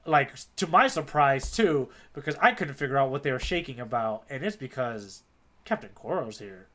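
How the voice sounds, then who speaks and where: A man co-hosting a podcast in casual, informal, slangy discussion with an animated delivery. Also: medium pitch, normal speed. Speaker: podcast co-host. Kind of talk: casual manga discussion chat.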